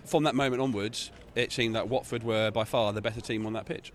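A man hosting a casual football podcast outdoors, jokey and halting with fillers. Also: on the low side, fairly even intonation, a little hiss or hum. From England. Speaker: podcast host. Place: outdoors near a stadium.

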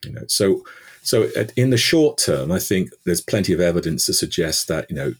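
A man's voice: sits low, animated, normal speed, breathy edge. A man guest from the UK answering an interview question at length, thoughtful, with many fillers and restarts. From United Kingdom. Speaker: energy expert guest.

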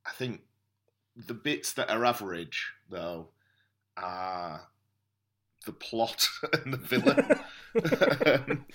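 A man as a podcast guest, giving casual opinions in a measured, reflective way. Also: on the low side, a bit bright. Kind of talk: casual review chat.

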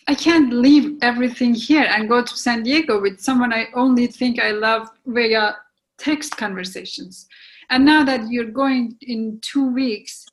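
A woman's voice: fairly high pitch, rough recording. A woman playing a guarded, apologetic young woman who is torn and hesitant, in a readers theatre reading. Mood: regretful. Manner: hesitant, regretful.

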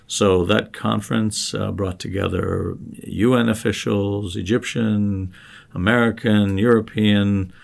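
Low gravelly-voiced male podcast host. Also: fairly even intonation, a little slow. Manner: friendly, listing places and names one by one. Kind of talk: casual podcast chat.

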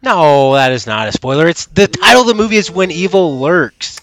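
A man co-hosting a casual podcast chat, relaxed and teasing as he talks. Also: on the high side, fairly quick, a crowd in the background. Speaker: podcast co-host.

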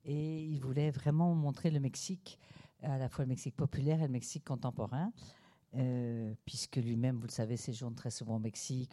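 An older woman, a museum curator, introducing a guest speaker off the cuff, with many pauses and fillers. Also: very deep voice, warm tone. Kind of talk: spontaneous introductory speech.

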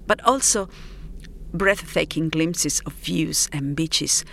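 A woman narrating her own scripted first-person story in a reflective, unhurried manner with deliberate pauses. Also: sits low, creaky, a bit bright, slight background noise.

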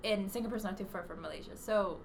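A woman's voice: mid-range voice, quick pace, gravelly, a little hiss or hum. A woman in casual conversation, chatty and full of fillers and restarts. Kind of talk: casual chat.

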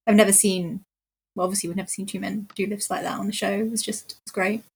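A woman's voice: mid-range voice, flat delivery, quick pace, gravelly, husky. A woman in casual chat, giving her commentary informally and thinking aloud.

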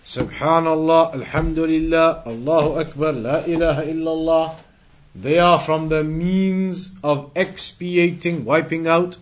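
A man teaching an Islamic studies class, explaining deliberately and repeating key phrases for emphasis as he moves through recited quotes. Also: on the high side. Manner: didactic, deliberate, emphatic repetition. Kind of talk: religious lesson, explaining and translating recited quotes.

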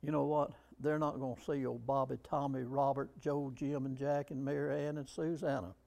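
A man's voice: medium pitch, little pitch movement, normal speed, gravelly, darkish tone. A man preaching a sermon, emphatic and fond of rhetorical questions to the congregation.

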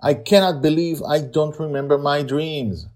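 A man's voice: a little high, moderate pace, a little mellow, pitched above the performer's own voice, natural voice. A man voicing an eager, sociable young female friend in a story podcast, earnest and coaxing. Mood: excited.

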